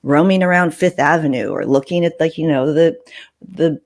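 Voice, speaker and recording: A woman's voice: low-pitched, normal speed. A middle-aged woman hosting a podcast, telling personal stories in a candid, informal way with some swearing. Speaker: podcast host. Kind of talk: casual personal storytelling.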